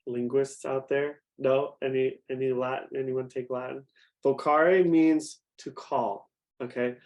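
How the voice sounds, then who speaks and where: A man's voice: mid-range voice, steady tone, measured pace, a little mellow. Man leading an interactive faith talk. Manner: conversational, asks the audience questions. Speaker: youth program director.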